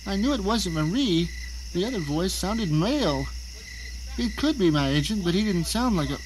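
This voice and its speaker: A man acts out a captive male novelist in an audio drama, recounting his ordeal in a theatrical, exclamatory way. He sounds panicked and indignant. The track is clearly noisy, with insect-like chirping behind the voice. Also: sits high, animated, moderate pace. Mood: tense recounting. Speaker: narrator and lead actor. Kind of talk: dramatic first-person audio drama narration.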